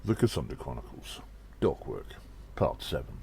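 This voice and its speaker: A man voicing a courtly gnome who narrates an audio drama in a theatrical, old-fashioned, husky, gravelly manner. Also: rumbling low, sing-song, normal speed, dark tone, heavily acted. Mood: grandly theatrical. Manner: theatrical, formal, old-fashioned greeting style.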